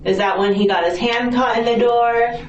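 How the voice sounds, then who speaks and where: A woman questioning someone as a police interviewer, in a patient, casual, reassuring conversational way, gently prompting. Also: a little high, fairly even intonation, moderate pace. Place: indoor police interview room. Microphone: distant room recording microphone. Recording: distant, echoey, muffled noisy interrogation recording.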